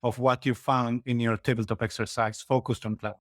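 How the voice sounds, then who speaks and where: A man from Argentina, a guest on a podcast, explaining his points in a measured, step-by-step way. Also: medium pitch, flat delivery, no room sound, clean studio sound. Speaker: interview guest, security executive. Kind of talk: casual interview chat.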